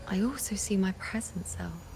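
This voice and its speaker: Actress as resolute seer woman, solemn, video game drama, crackly fry, medium pitch, soft music behind. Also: normal speed, lightly acted. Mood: pensive. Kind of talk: scripted video game story acting. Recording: background music under voice.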